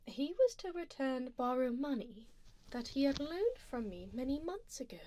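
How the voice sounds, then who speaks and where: A haughty vampire duchess acted by a woman murmurs in refined, formal phrases for an ASMR roleplay. Her voice is high and swings widely in pitch, slipping into breathy whispers right at the listener's ear. She is coolly menacing yet doting. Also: big pitch swings, normal speed, warm tone, a little hiss or hum, low-quality recording. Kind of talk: ASMR roleplay, close personal attention. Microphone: close binaural ASMR microphone.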